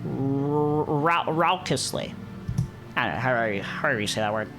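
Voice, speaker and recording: A man's voice: medium pitch, expressive, normal speed. A man reading stories aloud casually, playfully adding asides and stumbling over unfamiliar words. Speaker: video creator reading visual novels aloud. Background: music.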